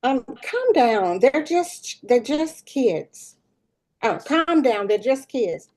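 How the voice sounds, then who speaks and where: A woman's voice: high voice, very animated, takes it slowly, a little mellow, heavily acted, poor audio. A woman playing a tone-deaf, attention-seeking school principal in her forties in a sitcom table read, slangy and dismissive. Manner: self-satisfied, dismissive, slangy.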